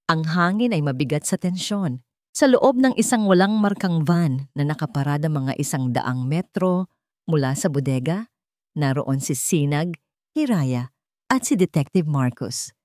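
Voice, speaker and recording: A woman with a Filipino accent reading a scripted dramatic story in a measured, suspenseful way. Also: sits low, lively intonation, a little mellow, no echo, studio quality. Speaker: story narrator.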